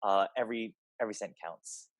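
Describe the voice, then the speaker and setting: A man's voice: on the low side, a little fast, no echo, clean studio sound. A man, a theater director, giving an informal, somewhat hesitant spoken introduction to a show.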